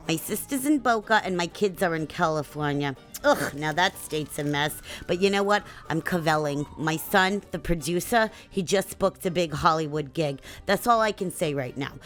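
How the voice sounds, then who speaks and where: A woman's voice: fairly low pitch, fairly bright tone. Gossipy New mother, played by a woman. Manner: kvetching, name-dropping, tangents. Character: chatty older woman, doting mother, gossipy. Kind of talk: comedic sketch monologue.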